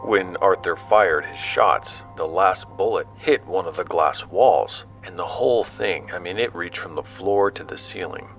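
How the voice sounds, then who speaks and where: Sci-fi audio drama narrator: male actor as self-deprecating explorer, very low register, conversational storytelling over soft music. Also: a little slow, hardly any pauses, low-quality recording. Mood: animated recounting. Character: young male explorer recounting his expedition, awed and casual. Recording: music under the voice.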